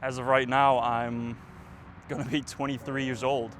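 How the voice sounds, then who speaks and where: A man's voice: medium pitch, level tone, unhurried, background noise. Rambling young man vlogging outdoors. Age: 20s. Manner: rambling, full of fillers. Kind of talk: casual reflective vlog. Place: outdoors, windy.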